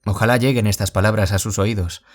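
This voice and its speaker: A man acting a gentle former bullying victim turned author in an audio drama, confiding in a measured, reflective way. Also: fairly low pitch, steady tone, soft background music, lightly acted. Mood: earnest, vulnerable. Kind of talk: audio drama acting as a radio interview guest.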